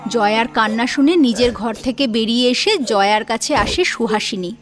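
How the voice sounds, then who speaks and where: A woman's voice: on the high side, normal speed, faint music behind. A woman narrating a scripted audio drama in a dramatic storytelling style. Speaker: narrator and voice actor.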